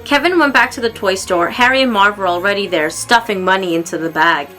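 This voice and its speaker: Bright-voiced woman reading a children's story. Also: medium pitch, fairly quick, soft background music, low-quality recording. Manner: expressive, acting out exclamations and dialogue. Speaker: storybook reader. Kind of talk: read-aloud children's story narration.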